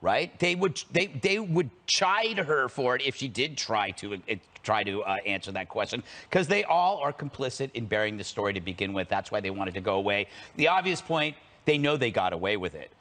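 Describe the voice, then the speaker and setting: A man's voice: mid-range voice, big pitch swings, normal speed, hardly any pauses, fairly bright tone. An American man debating politics on a TV panel, with a wisecracking, argumentative way of talking. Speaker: panelist on a TV political talk show.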